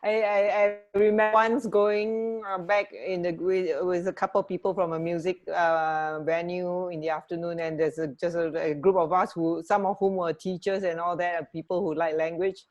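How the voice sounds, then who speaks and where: A woman with a slightly low voice chats informally as a radio co-host. She talks in a halting way, often breaking off and rephrasing as she goes. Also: fairly even intonation, muffled recording. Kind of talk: casual co-hosted radio chat.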